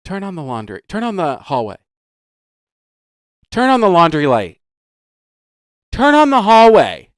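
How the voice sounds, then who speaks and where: A man co-hosting a casual podcast chat, teasing and quick with banter. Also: high voice, wildly swooping pitch, dry recording, clean studio sound. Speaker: podcast co-host.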